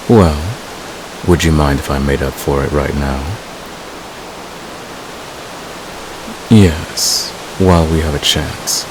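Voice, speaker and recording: Over steady hissing, stream-like outdoor noise comes a man acting as a fantasy villain with an extremely low voice. He is smug and seductive, murmuring to a secret lover in unhurried, teasing ASMR roleplay. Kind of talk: romantic audio roleplay, intimate ASMR acting.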